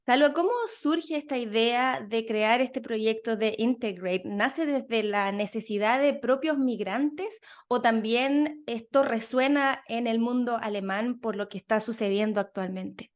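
A woman hosting a podcast, interviewing a guest with lively, curious questions. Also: a little high, unhurried, few pauses. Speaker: podcast host.

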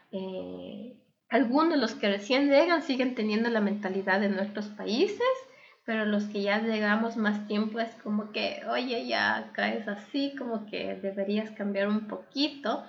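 A woman's voice: mid-range voice, creaky, dull audio. A young adult woman living abroad, speaking candidly and thoughtfully in a casual interview, with many fillers. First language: not German. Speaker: interview guest.

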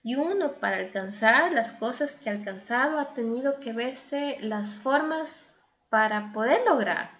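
A woman's voice: on the high side, expressive, a little slow, fairly bright tone, lo-fi sound. A young adult woman living abroad, speaking candidly and thoughtfully in a casual interview, with many fillers. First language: not German. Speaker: interview guest.

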